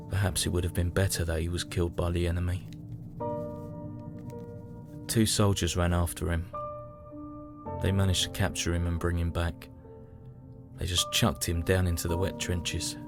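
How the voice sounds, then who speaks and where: Man acting a low-voiced, haunted young British soldier. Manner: somber, reflective, dramatic. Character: young, weary British soldier in 1917 trenches. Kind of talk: first-person audio drama diary narration. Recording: music behind the voice. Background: dramatic music.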